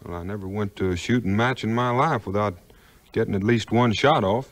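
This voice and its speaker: A man's voice: lowish voice, brisk, natural voice. An actor playing an easygoing, honest frontiersman with a folksy Southern US drawl in a 1950s record drama. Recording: 1950s vinyl record, music behind.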